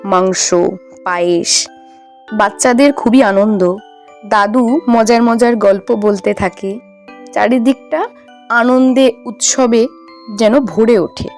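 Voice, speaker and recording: A woman narrator reading a scripted children's story. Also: fairly high pitch.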